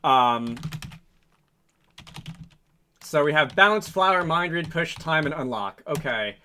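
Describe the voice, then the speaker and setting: A man's voice: on the high side, lively intonation, takes it slowly, pushed and bright, slight background noise. A man giving a casual, unscripted programming tutorial, thinking aloud in a hesitant, rambling, self-correcting way. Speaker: programming video host, game developer. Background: computer keyboard typing and mouse clicks.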